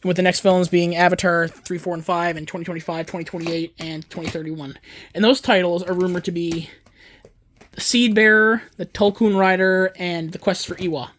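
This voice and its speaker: A man's voice: sits high, level tone, moderate pace, fairly bright tone, a little hiss or hum. A man in his twenties chatting casually in a show-and-tell vlog about his film disc collection, rambling and digressive, often correcting himself mid-sentence. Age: 20s. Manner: enthusiastic fan talk. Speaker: home video collector and YouTuber.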